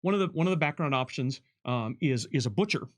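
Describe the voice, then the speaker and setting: A man working as a game developer, talking casually on a livestream panel and answering questions in an explanatory, halting way as he thinks aloud. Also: mid-range voice, animated.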